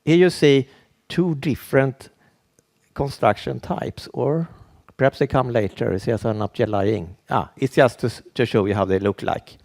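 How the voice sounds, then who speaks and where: A Swedish man with a Swedish accent, giving a conference presentation in English as a second language, halting and full of restarts. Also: medium pitch, gravelly, a little mellow. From Sweden. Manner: halting, with frequent restarts and fillers. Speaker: conference speaker.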